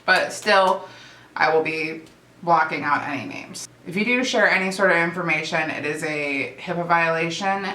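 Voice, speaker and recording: A young woman talking casually to camera as a vlogger, chatty and relaxed with fillers and restarts. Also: fairly low pitch, moderate pace, slight background noise. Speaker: vlogger and nursing aide. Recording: echoey room.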